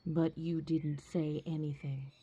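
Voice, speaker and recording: A woman's voice: low-pitched, little pitch movement, slow, dark tone, husky, muffled recording, heavily acted. A woman playing a wry, flirtatious, mysterious femme fatale in a parody of a 1940s radio detective play. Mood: cool, wry. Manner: wry, arch, suggestive.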